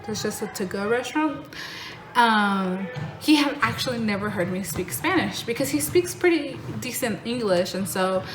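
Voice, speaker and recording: A woman's voice: on the high side, animated, moderate pace. A young woman with an American accent, vlogging in a casual, rambling way with lots of fillers. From born in Mexico, raised in North Carolina. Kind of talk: casual talking-head vlog. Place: home in Mexico. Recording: echoey room with noticeable background noise.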